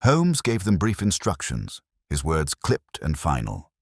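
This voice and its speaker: A man's voice: very deep voice, huge pitch swings, measured pace, breathy edge, dry recording, studio quality. A man reading scripted, dramatic mystery narration as an audiobook narrator.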